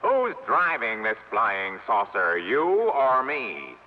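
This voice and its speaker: Lively gullible Martian. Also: male voice, mid-range voice, takes it slowly. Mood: comic, earnest. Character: comic gullible male Martian in a radio ad. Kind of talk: 1950s radio commercial comedy. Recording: muffled, hissy old radio broadcast.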